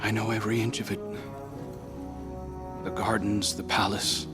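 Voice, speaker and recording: A man playing a low-voiced remorseful wanderer. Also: level tone, normal speed, loud music behind the voice, lightly acted. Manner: quiet, bitter, wary. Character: remorseful young man haunted by his past.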